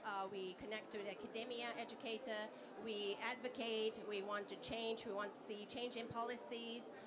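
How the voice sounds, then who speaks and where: A woman's voice: fairly high pitch, fairly even intonation, moderate pace, a crowd in the background. Woman from Japan speaking on a panel. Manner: searching for words, many fillers. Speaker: panelist, startup founder. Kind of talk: conference panel remarks.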